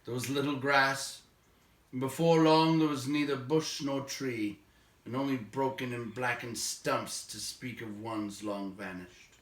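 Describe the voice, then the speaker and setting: A man narrating a story aloud in a measured, expressive way, letting phrase endings fall away quietly. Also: mid-range voice. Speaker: audiobook reader, narrator. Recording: roomy echo, distant microphone.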